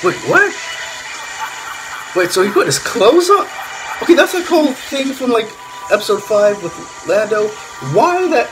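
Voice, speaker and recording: A man in his thirties reacts in a casual, incredulous way. His voice sits high and moves around a lot, with music playing clearly behind him. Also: sing-song, a little slow, long pauses, low-quality recording. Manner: exclamatory, incredulous. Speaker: reaction video host. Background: soundtrack of the watched video.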